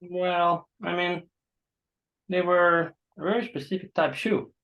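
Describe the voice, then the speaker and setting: A man acting an awkward, apologetic host to a stranger in a staged play reading, halting and understated, on a dull recording. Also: high-pitched, little pitch movement. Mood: sheepish.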